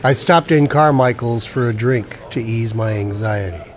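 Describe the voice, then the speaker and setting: A man's voice: medium pitch, normal speed, close to an everyday voice. A man playing a wry, down-on-his-luck noir narrator in a radio drama, with a sardonic, hard-boiled delivery. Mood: wry, self-pitying.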